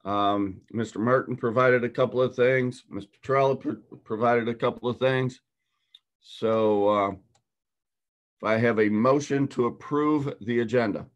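A man's voice: medium pitch, unhurried, muffled recording. A man chairing a board meeting over a video call, walking through the agenda item by item in a businesslike way.

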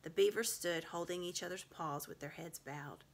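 A woman's voice: on the low side, normal speed. A woman in her thirties narrating a storybook expressively, recorded in a room at home. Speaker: storybook reader. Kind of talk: expressive read-aloud narration.

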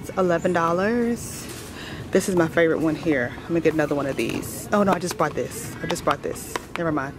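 An American woman in her thirties chatting casually and familiarly to her viewers as a lifestyle vlogger. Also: deep voice. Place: car, then a large store.

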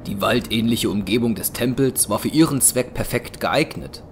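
A man narrating a scripted audio drama as a measured storyteller. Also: medium pitch, a little hiss or hum. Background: music.

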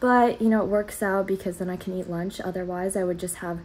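A woman's voice: lowish voice, steady tone, a little fast, a little mellow. A young woman, a college student, talking casually to her camera about her day, chatty and encouraging, with lots of fillers. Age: young adult. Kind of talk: casual vlog talk to camera.

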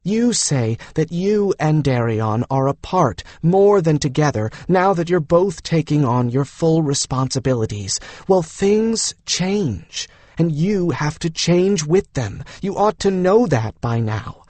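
A man's voice: fairly high pitch, very animated, slow pace, a little mellow, no echo. A man voicing a frank young woman in an audiobook, coaxing and lecturing insistently. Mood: firm, coaxing. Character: frank, coaxing young woman, exasperated older-sister-style adviser. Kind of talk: audiobook character dialogue.

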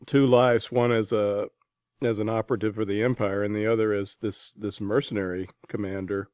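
A man hosting a casual interview, with a halting way of talking as he searches for words. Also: lowish voice, level tone, darkish tone, no room sound, studio quality. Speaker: podcast interviewer.

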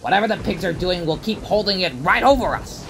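A woman's voice: on the low side, brisk, very noisy, natural voice. A woman voicing a determined young male bird who leads his flock in a cartoon comic dub. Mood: concerned. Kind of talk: comic dub character acting. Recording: voice under loud background music.

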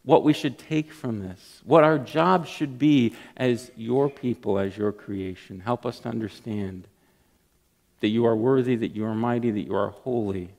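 A middle-aged man preaching a sermon from a church lectern, reading scripture and praying with earnest emphasis and pauses. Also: medium pitch, creaky, darkish tone. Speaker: pastor. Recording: long church hall reverb.

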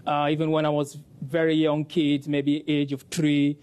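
Young guy from cameroon, french native, tells his story earnestly, slightly high voice, lapel mic. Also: completely flat delivery, normal speed, a little mellow. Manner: earnest storytelling, laughs easily. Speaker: panelist. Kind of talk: panel discussion answer. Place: stage of a conference room.

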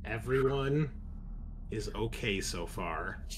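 A man running a tabletop roleplaying game as game master, narrating casually with halting, deliberate pauses. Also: on the low side, expressive, heavy background noise.

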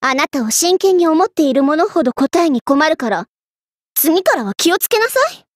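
A woman voicing a haughty, vain young Egyptian queen in a Japanese anime game, imperious and theatrical, quick to get flustered. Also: high voice, very animated, no room sound.

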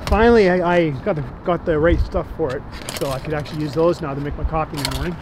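A man with a fairly high voice talks loosely from inside his parked minivan. He rambles, restarts his sentences and keeps tagging on "right?". Traffic and street noise carry clearly in the background. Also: fast talker. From Canada. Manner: rambling, filler-heavy, tags sentences with "right?". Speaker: interviewee. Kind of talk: casual chat. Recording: vehicle and street noise behind. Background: outdoor traffic ambience.

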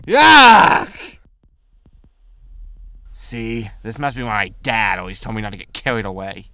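A man playing a greedy, hapless spaceship scavenger who mutters excitably to himself in a sci-fi comedy audio drama. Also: on the low side, unhurried, creaky, dry recording, full character voice. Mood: frustrated. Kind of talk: science fiction comedy audio drama acting.

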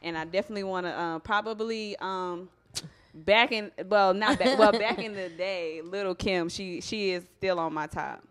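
A young woman co-hosts a laid-back studio chat with a handheld mic. Her voice is bright and forward, and her talk is chatty and teasing with frequent laughter. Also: mid-range voice, moderate pace. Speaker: podcast co-host. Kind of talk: casual chat. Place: small indoor studio set on a couch. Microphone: handheld microphone.

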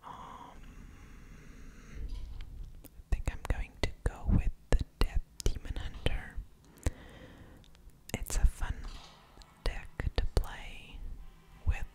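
Whispering woman, slow ASMR game commentary. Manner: soft whispering, unhurried, with mouth sounds. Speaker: host of an ASMR gaming channel.

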